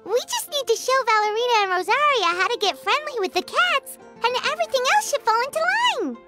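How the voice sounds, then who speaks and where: Game sidekick: tiny blunt fairy, very high and bouncy, talks about herself in third person. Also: female voice, very animated, brisk, over music. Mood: chatty. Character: tiny floating female fairy companion, chatty and blunt. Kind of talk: video game voice acting.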